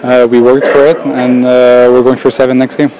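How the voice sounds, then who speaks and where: Male player, 20s, locker room, hesitant, monotone. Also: mid-range voice, moderate pace, crowd noise, poor audio. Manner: plain, hesitant answers. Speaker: hockey player. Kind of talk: post-game interview. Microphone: handheld mic held by reporter.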